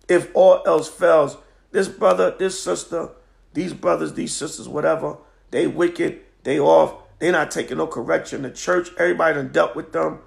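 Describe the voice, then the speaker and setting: Hoarse, gravelly man preaching. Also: fairly high pitch. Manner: emphatic, preacherly, with many "all right?" checks. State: hoarse from a cold. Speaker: teacher of a religious group. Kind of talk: live-streamed scripture lesson. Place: home at night. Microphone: phone on a stand.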